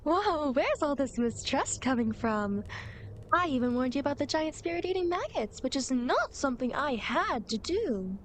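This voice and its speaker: A woman's voice: high voice, big pitch swings, normal speed, a little hiss or hum. A woman playing a playful, teasing demon girl who guides dead souls, in a coy, dramatic ASMR roleplay. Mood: coy, coaxing. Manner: coy, mischievous, mock-sympathetic, dramatic. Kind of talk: ASMR roleplay, acted monologue to the listener.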